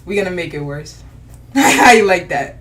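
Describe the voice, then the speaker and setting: A woman co-hosting a casual podcast, animated and quick to laugh, with a voice that turns raspy at times. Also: lowish voice. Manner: animated, exclaiming, laughing often. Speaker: podcast co-host. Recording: distant mic, faint ambient noise.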